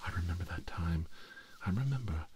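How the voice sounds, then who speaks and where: A man playing an unhinged, brooding middle-aged scientist villain in a whispered ASMR roleplay, muttering haltingly and repeating himself. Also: low voice, lively intonation, noisy background. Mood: scheming, soothing.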